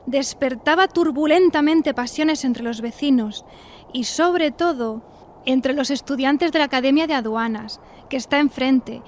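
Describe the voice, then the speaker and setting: Actress as high-voiced melodramatic young single lady. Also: slight background noise, lightly acted. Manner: melodramatic, confiding, coquettish. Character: romantic, self-dramatising young single woman of the 1890s. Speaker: narrator and lead of a radio play. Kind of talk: theatrical first-person radio drama monologue.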